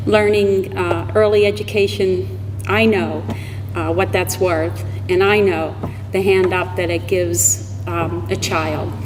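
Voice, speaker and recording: A woman in her sixties giving an informal, unscripted thank-you speech in a halting, conversational way. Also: mid-range voice. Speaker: donor giving thanks at a ceremony. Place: large room, public event. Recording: echoey hall with background noise.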